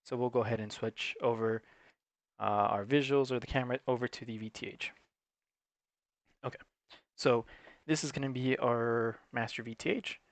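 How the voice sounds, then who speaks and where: A man's voice: mid-range voice, fairly even intonation, normal speed, pauses often, no echo. A man giving an unscripted, matter-of-fact, step-by-step tutorial in an instructional way. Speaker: technical support presenter.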